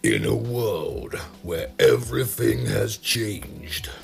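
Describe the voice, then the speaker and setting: A man voicing a portentous, grandiose blockbuster trailer announcer, drawing out his words in a gravelly tone. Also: lowish voice, very animated, heavily acted. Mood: ominous. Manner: grandiose, drawn-out. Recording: music behind the voice.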